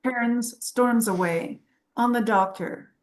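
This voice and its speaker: A woman with a slightly high voice narrates from a script. She reads out scene changes and action lines at a table read. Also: slow, a little hiss or hum, low-quality recording. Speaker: stage-direction reader.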